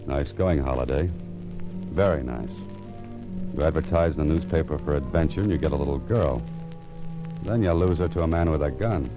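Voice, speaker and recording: An actor playing a wry, tough private investigator in 1940s radio style, with dry, understated delivery. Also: male voice, very deep voice, normal speed, pauses often. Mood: musing, self-mocking. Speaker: actor playing the lead detective. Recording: muffled vintage radio recording with music.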